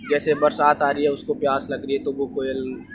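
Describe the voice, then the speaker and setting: A man's voice: medium pitch, monotone, a little fast. A man, explaining things casually as an interview guest. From rural North India. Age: 20s. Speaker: interview guest, animal sound mimic. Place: outdoors on an open roadside. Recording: noisy outdoor roadside with traffic.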